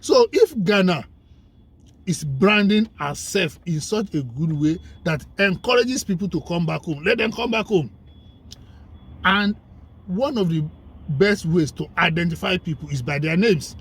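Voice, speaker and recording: A man's voice: high voice, lively intonation, measured pace, a bit bright, slightly rough. A man speaking as a guest in a conversation, emphatic and persuasive, building his points with lists and rhetorical questions. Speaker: guest commentator. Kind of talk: conversational discussion.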